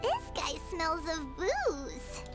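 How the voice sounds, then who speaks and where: Tiny squeaky, very high fairy companion. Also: female voice, very slow, exaggerated voice. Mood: confident. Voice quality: squeaky, childlike. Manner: expressive, exclamatory. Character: small childlike floating fairy companion, chirpy, excitable. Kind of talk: anime video game voice acting. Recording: game audio with loud music behind.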